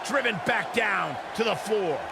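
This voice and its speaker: A man's voice: high-pitched, animated, measured pace, vocal fry, exaggerated voice. A man playing a dramatic, alarmed play-by-play wrestling announcer in a video game, speaking emphatically. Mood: dramatic, excited. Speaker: lead play-by-play wrestling commentator. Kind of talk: excited sports commentary in a video game. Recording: loud arena crowd noise behind. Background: roaring wrestling crowd.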